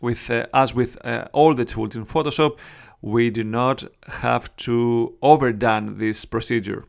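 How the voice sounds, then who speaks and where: A man with a Greek accent talks through a software tutorial in slightly broken English. His mid-pitched voice has a gravelly edge. He speaks chattily, with frequent "uh" and "you know" fillers, and keyboard typing can be heard behind him. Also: steady tone, a little slow. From Greece. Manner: chatty, many "uh" and "you know" fillers, non-native phrasing. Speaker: Photoshop tutorial host. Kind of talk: casual screen-recorded tutorial.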